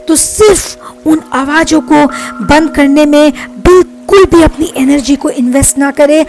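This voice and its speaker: A woman leading a guided meditation as a life coach, speaking in a soothing, deliberate, instructing way. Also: high voice. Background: meditation music.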